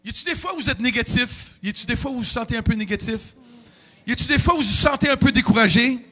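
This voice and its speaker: A middle-aged man, preaching a sermon in a church with emotional, animated delivery and sudden outbursts. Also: extremely high pitch, quick pace. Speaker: pastor.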